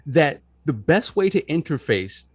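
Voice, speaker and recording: A man's voice: a little high, big pitch swings, a little slow, slightly rough, no room sound. A man hosting a casual gaming podcast, making emphatic points with repeated words and tag questions. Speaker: podcast host.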